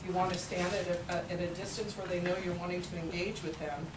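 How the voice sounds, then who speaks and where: A middle-aged woman with a loud, projecting voice giving an earnest business etiquette seminar, often restarting her words. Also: fairly low pitch, flat delivery, fast. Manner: earnest, warm advice with stammered restarts. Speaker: workshop presenter. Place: small meeting room with audience. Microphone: distant camera mic. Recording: echoey room from far off, background hum.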